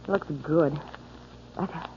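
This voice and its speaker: A woman's voice: measured pace, mellow, close to an everyday voice. An American actress playing a troubled, guarded young woman in a 1940s radio drama. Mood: bitter, earnest. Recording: muffled, noisy 1940s radio broadcast.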